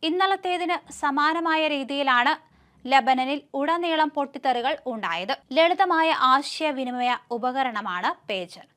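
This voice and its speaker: Woman, Malayali accent, news presenter, high voice, scripted news narration. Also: animated, unhurried, a bit bright. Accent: Malayali (Kerala).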